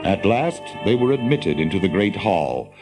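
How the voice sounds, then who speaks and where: Low-voiced male storyteller over music. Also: very animated. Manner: measured, literary delivery. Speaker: narrator. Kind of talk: scripted storybook narration for audio drama.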